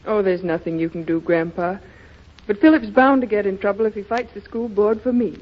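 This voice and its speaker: A woman's voice: mid-range voice, a little fast, close to an everyday voice. An actress playing a worried, self-sacrificing young American woman in a 1930s radio drama. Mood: downcast. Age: young adult. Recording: muffled, hissy 1930s radio recording.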